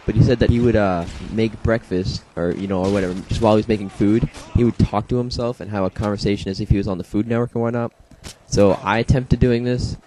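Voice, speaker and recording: A young man, slightly hoarse from a congested throat, rambling casually through his own match footage with many fillers and self-deprecating asides. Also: lowish voice, steady tone, fast talker, few pauses, mellow, a little hiss or hum, low-quality recording. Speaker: gameplay commentator. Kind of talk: casual gameplay commentary.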